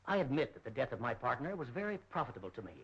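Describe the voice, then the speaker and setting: A polished, brisk businessman in a 1930s film. He talks quickly at a medium pitch. The sound is dulled, like an old soundtrack. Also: very animated, creaky, a bit bright, muffled recording. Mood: defensive, curt. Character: defensive, brisk businessman, curt yet socially polished.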